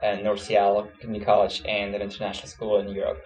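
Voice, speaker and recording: Fast-talking young man from Switzerland. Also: fairly low pitch, rough recording. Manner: relaxed, many fillers, laughs easily. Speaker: student interviewee. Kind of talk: casual interview answers.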